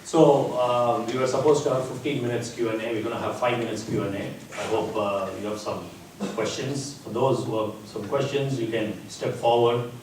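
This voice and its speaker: A man moderates a conference question session and briskly tells the audience what to do. He is heard from afar in a booming, reverberant hall. A steady hiss sits under his voice. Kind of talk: running the Q and A. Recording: echoey hall from far off, background hiss.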